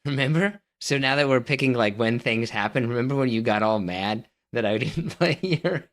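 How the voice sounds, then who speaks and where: A man's voice: mid-range voice, moderate pace, fairly bright tone. Teasing, opinionated man bantering. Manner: teasing, needling his co-host. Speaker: podcast co-host. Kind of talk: casual banter about movies.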